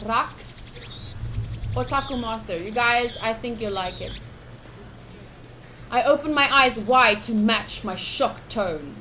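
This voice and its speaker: A woman streaming a game playthrough, chatting casually and reading the text aloud in an expressive, enthusiastic way. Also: fairly high pitch, takes it slowly, long pauses, slight background noise. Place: livestream setup.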